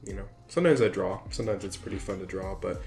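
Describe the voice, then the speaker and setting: Young male co-host. Boyish, lowish voice, hesitant and halting. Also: a little mellow, faint music behind. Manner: hesitant, with restarts. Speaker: podcast co-host. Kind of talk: casual chat.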